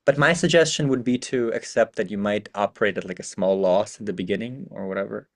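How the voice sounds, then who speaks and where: A man's voice: fairly low pitch, quick pace. A man talks loosely and casually, drifting through his points with plenty of fillers and the odd curse. He sounds like a podcast host chatting with a guest. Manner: relaxed, rambling, swears casually. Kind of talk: casual advice chat.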